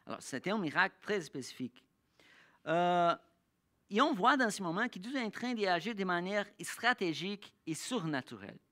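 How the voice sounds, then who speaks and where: Bright-voiced halting preacher. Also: medium pitch. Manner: halting, with frequent restarts and searching pauses; slows down to read scripture aloud. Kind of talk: sermon with Bible reading. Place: church.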